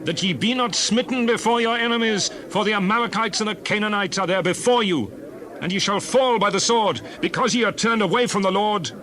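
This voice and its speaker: A man's voice: high-pitched, brisk, a bit bright. An actor playing a stern prophet who warns his people urgently in a declamatory scripture drama. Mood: urgent warning. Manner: urgent, declamatory, archaic phrasing.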